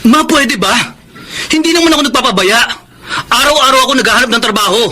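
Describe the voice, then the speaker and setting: A man's voice: very high-pitched, animated, quick pace, sharp tone, heavily acted. A man acting in a Filipino radio drama, playing a proud, stubborn jobless husband and father who gets defensive. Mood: defensive, irritated. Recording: noisy radio drama track.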